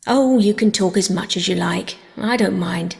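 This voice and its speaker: A woman voicing a shy, quiet countryman in an audiobook, halting, reserved and folksy. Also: medium pitch, gravelly, in a large hall, lightly acted. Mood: shy, mild. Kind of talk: audiobook character voice.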